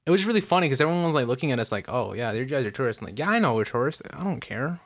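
A man's voice: mid-range voice, sing-song, very fast. A young man from the United States co-hosting a casual podcast, telling stories animatedly and acting out quotes with frequent swearing. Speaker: podcast co-host. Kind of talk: casual chat.

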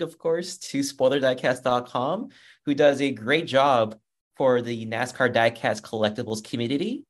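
A man hosting a motorsports podcast in a casual, upbeat, chatty way, with a loud voice. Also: medium pitch. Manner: upbeat, chatty, reads sponsor plugs. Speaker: podcast host and motorsports journalist.

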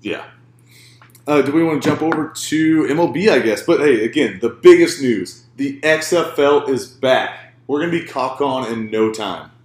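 Man, podcast co-host, mid-pitch, jokey and laughing, recorded in a roomy space. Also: expressive, normal speed. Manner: laughs often, jokey asides. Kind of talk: casual sports chat.

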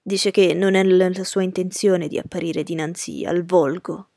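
A woman's voice: lowish voice, steady tone, moderate pace, creaky, warm tone, no room sound, studio quality. A woman reading the part of a deferential, uneasy male servant bringing messages in an audiobook story. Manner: deferential, hesitant.

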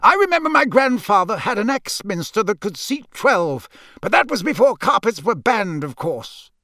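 An elderly, buttoned-up wizard bureaucrat from a storybook, voiced by a man. He sits high above a normal male register and speaks in a dry, formal, impatient clip. The sound is close, with no room on it. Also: big pitch swings, a bit bright, no room sound, heavily acted. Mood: dry, disapproving. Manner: brisk, formal, curt. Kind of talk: audiobook character voice.

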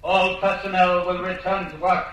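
An actor playing a cold, relentless state telescreen announcer in a radio drama, declaiming commands in a measured way. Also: male voice, sits high, flat delivery, fairly bright tone. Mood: hateful, relentless. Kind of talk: 1950s-style radio drama acting. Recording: echoing distant speaker, faint noise.

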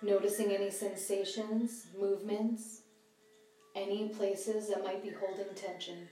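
A woman guiding a mindfulness meditation, giving calm, measured instructions in short phrases. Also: medium pitch. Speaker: meditation guide. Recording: slightly distant roomy sound, music behind.

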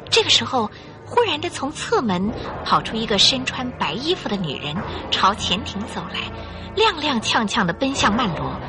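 A woman narrator reading a scripted radio drama in an expressive, dramatic storytelling manner. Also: mid-range voice.

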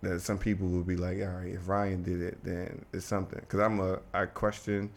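A man's voice: deep voice, little pitch movement, brisk. A young man from the Washington DC area, talking casually as a guest and rambling with many restarts. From United States, Washington DC area. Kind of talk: casual conversation.

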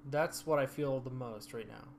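A man chatting casually about a hike, relaxed and quick to laugh. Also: medium pitch, creaky, slight background noise. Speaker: hiker recounting a trip. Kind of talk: casual chat.

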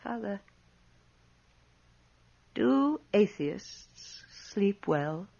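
A woman's voice: mid-range voice, lively intonation, extremely slow, vocal fry, very noisy, full character voice. An actress playing an imperious, defiant lady of a haunted house, cool and dismissive, in a radio horror drama. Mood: cool, probing. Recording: muffled old radio drama recording.

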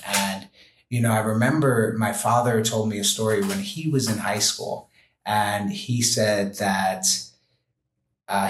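A man speaking as a podcast guest, earnest and gentle, talking in a reflective way with many fillers like "you know" and "like". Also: lowish voice, roomy sound, low-quality recording. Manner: gentle, heartfelt. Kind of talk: reflective interview conversation.

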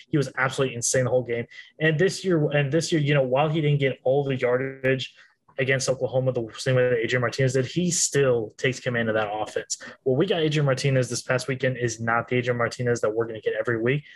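Male panelist, mid-pitched, chatty and self-mocking, loose podcast delivery. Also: low-quality recording. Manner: talkative, self-deprecating, with restarts. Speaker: college football panelist. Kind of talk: casual sports podcast chat.

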